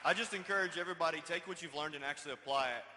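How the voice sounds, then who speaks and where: A man's voice: a little high, rapid-fire, noisy background. Punchy young man rallying a hall. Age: 20s. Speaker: speaker at a business event. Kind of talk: motivational stage speech. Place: large hall on stage. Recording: reverberant hall via PA.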